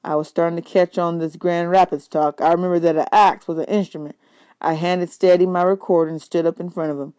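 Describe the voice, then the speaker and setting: A woman's voice: on the low side, fairly even intonation, a little fast, mellow, clear, resonant tone, no room sound. A woman reading a children's novel aloud as a storyteller. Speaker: audiobook reader, teacher.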